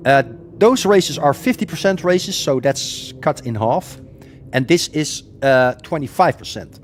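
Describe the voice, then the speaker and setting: A man's voice: on the high side, animated, unhurried, a little hiss or hum. A man from the Netherlands with a Dutch accent, chatting casually on a gaming live stream with many ums and uhs.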